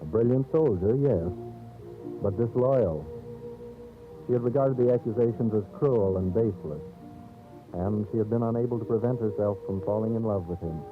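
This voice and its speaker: A man narrating a scripted 1930s radio drama in a solemn, theatrical, measured storyteller's manner. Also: lowish voice. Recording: old scratchy 1930s radio, narrow phone-like band. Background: dramatic background music.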